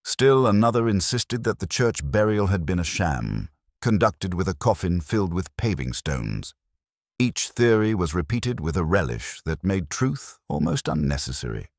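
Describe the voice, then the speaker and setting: Very deep-voiced man narrating wry mystery fiction. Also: very animated, no echo, studio quality. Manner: measured, wry storytelling. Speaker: narrator of a mystery audio drama. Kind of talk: scripted literary mystery narration.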